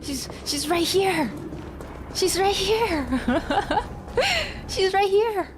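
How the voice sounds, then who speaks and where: A woman's voice: very high voice, expressive, drawn-out words, background noise. A woman streamer giving casual, playful live commentary on a game, reacting to what happens as she plays. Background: game music.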